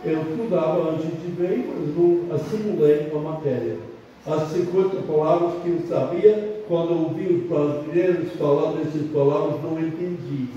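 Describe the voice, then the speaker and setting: Older man preaching, not his first language, dark mellow voice, high-ish, super echoey hall, mic far off and muffled. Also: moderate pace, slight background noise. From abroad, not Brazil. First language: not Portuguese. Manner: emphatic preaching with repetitions and restarts. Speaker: pastor. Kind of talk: sermon. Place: church hall.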